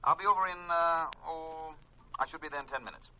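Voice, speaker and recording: An actor playing a hearty, eager insurance man in a 1950s radio mystery, brisk and businesslike. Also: fairly high pitch, sharp tone. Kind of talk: 1950s radio drama acting. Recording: muffled vintage radio telephone voice.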